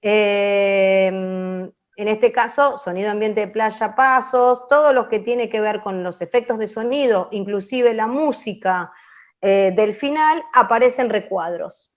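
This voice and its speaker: A woman with an Argentine accent teaching an open class, explaining off the cuff and often pausing to check that listeners follow. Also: mid-range voice. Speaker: radio drama teacher. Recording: dull, muffled audio.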